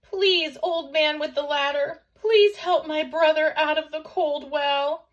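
A woman's voice: sits high, fairly even intonation, slow, dull audio, voice pushed up. A woman voicing a small, frightened boy who pleads breathlessly and urgently in a children's storybook reading. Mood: frightened pleading.

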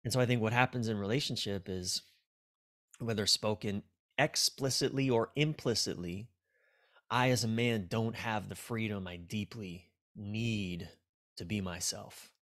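A man's voice: on the low side, unhurried, a bit bright. An American man in his forties speaking frankly and casually as an interview guest, reflective and prone to swearing. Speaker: relationship coach, podcast guest. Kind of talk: casual, candid interview talk. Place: small dim indoor room, video call.

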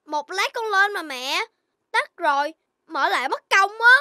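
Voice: very high voice, lively intonation, unhurried, sharp tone, dry recording, studio quality, heavily acted. An actor voicing an eager, stubborn little puppy boy in a children's cartoon, with an earnest, emphatic delivery. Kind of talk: Vietnamese children's cartoon dubbing.

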